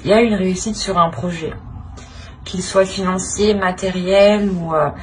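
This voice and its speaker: A woman's voice: on the low side, steady tone, normal speed, slight background noise. A woman giving an improvised tarot reading straight to the viewer, feeling her way through repeated phrases and tag questions. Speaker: tarot reader, channel host.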